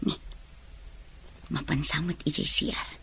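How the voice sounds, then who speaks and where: A woman's voice: deep voice, fairly even intonation, unhurried, vocal fry, fairly bright tone, a little hiss or hum, full character voice. An actress playing a sly, scheming young woman in a radio drama, often musing aloud to herself.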